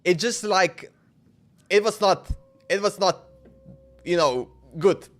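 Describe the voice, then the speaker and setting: A man's voice: high voice, slow. A man chatting casually on a game livestream in an informal, slangy, repetitive way. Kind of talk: casual stream chat.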